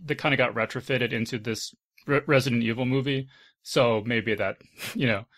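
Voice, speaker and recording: A man hosting a podcast in casual chat, conversational and halting, often circling back on his words. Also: mid-range voice, fairly even intonation, fairly bright tone. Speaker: podcast host.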